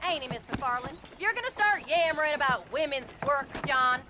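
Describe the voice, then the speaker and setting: An actress playing a feisty, devoted frontier wife with an American Western accent and folksy, sharp-tongued speech in a video game. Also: female voice, sits high, animated, fairly quick, noisy background. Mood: wry. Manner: folksy, ungrammatical, sassy speech. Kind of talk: video game cutscene acting.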